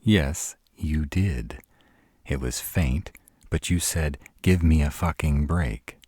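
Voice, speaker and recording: A man acts out a brooding, sarcastic vampire with an extremely low, dark voice. He talks slowly, proud and guarded, in the intimate manner of a roleplay audio drama, with a sardonic edge over a soft spot. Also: husky, no echo, clean studio sound, heavily acted. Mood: urgent, exasperated. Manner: sardonic, exasperated, halting when vulnerable.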